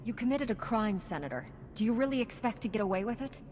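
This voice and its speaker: A woman's voice: on the high side, lively intonation, quick pace, a little mellow, close to an everyday voice. An actress playing a composed, principled female knight in a science fiction video game, earnest, formal and firm. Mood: stern. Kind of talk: dramatic video game acting. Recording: game audio with faint noise.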